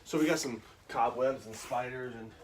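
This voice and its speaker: A man's voice: medium pitch, normal speed, crowd noise, low-quality recording. A man in his thirties hosting a bedtime story livestream, chatting casually with lots of fillers and then reading aloud. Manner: relaxed, rambling, lots of fillers.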